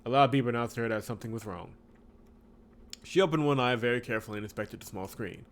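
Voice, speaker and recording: Expressive man doing casual let's-play commentary. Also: medium pitch, fast talker. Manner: chatty, expressive, wry asides, laughs through speech. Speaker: host of a visual novel playthrough channel.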